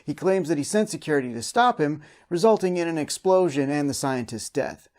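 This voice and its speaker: A man's voice: fairly high pitch, animated, brisk, darkish tone. A man hosting a recap and review show, delivering scripted comedic narration.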